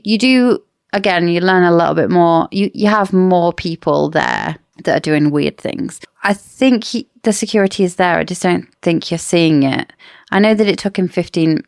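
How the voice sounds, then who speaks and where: A woman's voice: lowish voice, gravelly, smooth, dry recording, studio quality. A woman co-hosting a casual book discussion podcast, explaining and arguing her points in an opinionated way. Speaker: podcast co-host.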